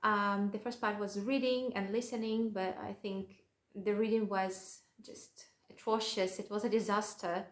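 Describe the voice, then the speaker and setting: A woman's voice: medium pitch, flat delivery, unhurried, roomy sound. A young woman from Russia with a Russian accent, a vlogger chatting casually to the camera and joking at her own expense, with many fillers.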